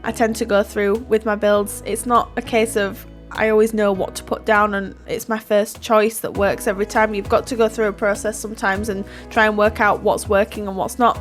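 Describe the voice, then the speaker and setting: A woman's voice: mid-range voice, little pitch movement, normal speed, hardly any pauses, faint music behind. A woman, stuffy from hay fever, chatting casually through a voiceover of her building game, giggling and self-deprecating. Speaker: YouTuber narrating a Sims build.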